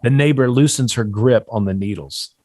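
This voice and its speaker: A man's voice: mid-range voice, moderate pace, a little mellow, no room sound, studio quality. A man reading stage directions as scripted narration at a table read.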